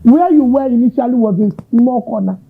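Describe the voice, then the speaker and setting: A man's voice: very high voice, a little fast, gravelly, breathy edge. A Nigerian man hosting an interview, asking long, winding questions with Yoruba asides. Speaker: interviewer, host. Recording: tinny narrow-band audio.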